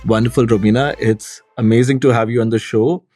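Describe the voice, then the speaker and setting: A man's voice: medium pitch, steady tone, brisk, mellow, soft background music. A man hosting a podcast, reading a formal, enthusiastic prepared introduction and then asking long questions. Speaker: podcast host. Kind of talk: scripted podcast introduction and interview.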